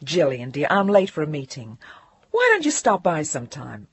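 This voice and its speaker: A woman voicing a smooth, urbane male magazine editor in his late thirties who talks in breezy, clipped phrases. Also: low-pitched, wildly swooping pitch, moderate pace, no room sound, heavily acted. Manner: breezy, clipped, calls everyone dear.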